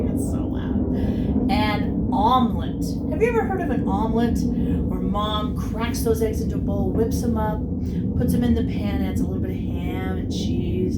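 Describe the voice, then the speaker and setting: Animated woman teacher reading in an echoey room. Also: mid-range voice, very noisy. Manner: animated, explains words to children, drawn-out delivery when reading. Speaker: kindergarten teacher. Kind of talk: expressive picture-book read-aloud for young children. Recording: roomy echo, distant mic.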